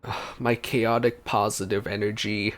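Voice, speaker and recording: A man's voice: lowish voice, flat delivery, measured pace, a bit bright. A man giving casual let's play commentary as he goes through a video game. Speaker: gameplay commentator. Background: game music.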